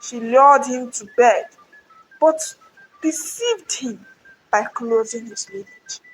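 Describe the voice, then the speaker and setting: A woman acts out a defiant, mighty man from a folktale. The voice is high, and the delivery is declamatory and full of solemn authority. Some noise sits behind it. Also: lively intonation, slow pace, rough recording. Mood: solemn, authoritative. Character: mighty, defiant male hero telling solemn riddling tales.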